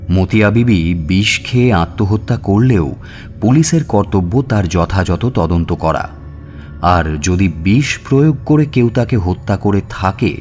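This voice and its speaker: A man narrating a scripted suspense story in a measured, expressive, dramatic way. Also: lowish voice. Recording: music bed under narration.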